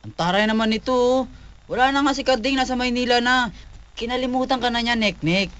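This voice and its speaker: A man's voice: very high voice, fairly quick, a bit bright, highs cut off. A man playing a scheming, lecherous suitor who coaxes slyly and insistently in a comic radio drama. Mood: sly persuasion. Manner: sly, insistent coaxing. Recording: radio broadcast with background music.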